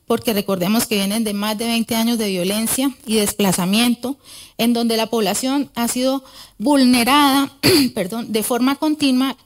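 A middle-aged woman giving an academic conference talk at a podium microphone in a large auditorium, earnest and often pausing to correct herself. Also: on the high side, slight background noise. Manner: earnest, with frequent hesitations and self-corrections. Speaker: conference speaker. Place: large wood-panelled auditorium.

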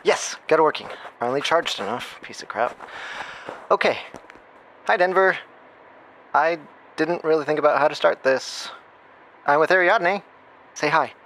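A man's voice: a little high, lively intonation, moderate pace, pauses often, a bit bright, poor audio. Man acting a rambling, awkward hacker, faint noise. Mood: flustered, playful. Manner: rambling, flippant, self-interrupting. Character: chatty, awkward hacker friend leaving a secret recorded message. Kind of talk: horror audio drama acting.

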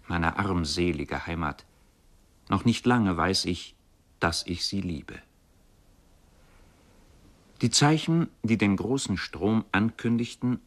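A reflective man from a poor village in a radio play. He speaks in a lowish voice. His telling is measured, literary and contemplative.

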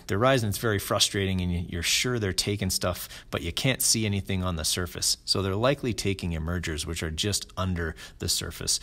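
A middle-aged man talks through his fishing gear in a very low, deep voice. He speaks in a relaxed, casual way, often pausing with ums and restarting his sentences. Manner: relaxed, many fillers and restarts. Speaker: fly fishing channel host. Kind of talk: casual gear explainer voice-over.

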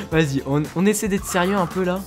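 A man's voice: high-pitched, wildly swooping pitch, normal speed, faint music behind. A man from France chatting casually and laughing as he plays a video game. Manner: chatty, laughs often, fillers. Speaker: gaming youtuber. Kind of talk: casual gaming commentary.

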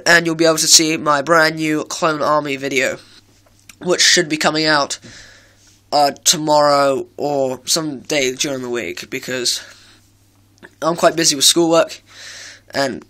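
A man's voice: fairly high pitch, steady tone, measured pace, bright, forceful tone. A teenage boy with a cold and sore throat, casually showing off a model build, rambling with many fillers and self-corrections. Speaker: hobby video maker. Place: indoor room at home, filming with a handheld camera.

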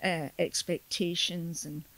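A woman's voice: lowish voice, level tone, slow, fairly bright tone, low-quality recording. A middle-aged Scottish woman, a storyteller, chatting casually on a podcast and laughing between remarks. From Scotland. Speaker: storyteller guest.

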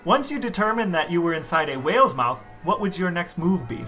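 A man's voice: on the high side, animated, a little fast, sharp tone, soft background music. A man co-hosting a podcast with his brother, trading dry jokes in casual banter. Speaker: podcast co-host. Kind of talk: casual chat.

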